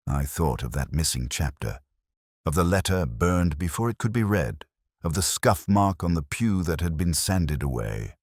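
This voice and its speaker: A man playing a brooding, world-weary police inspector who narrates his case in a measured, sombre, wry literary style. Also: deep voice, no echo, studio quality. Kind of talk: literary first-person detective drama narration.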